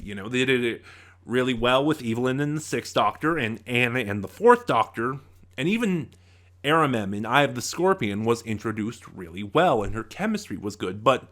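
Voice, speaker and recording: A man's voice: mid-range voice, sharp tone. A man giving review commentary in an informal, explanatory way, correcting himself as he goes. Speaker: reviewer, channel host. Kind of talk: semi-scripted audio drama review commentary.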